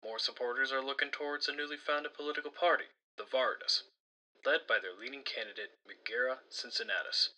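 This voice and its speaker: A man's voice: mid-range voice, bright, forceful tone. A man playing a formal news reporter in an audio drama, heard as a distant broadcast. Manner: formal, declarative. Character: male news reporter covering political upheaval.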